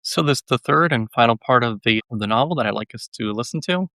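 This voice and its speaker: A man's voice: mid-range voice, expressive, fast talker, pushed and bright, no echo. Hesitant man, casual, dry sound. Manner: hesitant, repeats words and phrases. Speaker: presenter reviewing a novel. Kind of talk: casual informal book talk.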